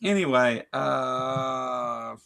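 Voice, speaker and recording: Gravelly-voiced man with a Kentucky accent. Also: medium pitch, very slow, bright, forceful tone. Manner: rambling, filler-heavy, joking. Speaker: podcast co-host. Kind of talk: casual livestream chat.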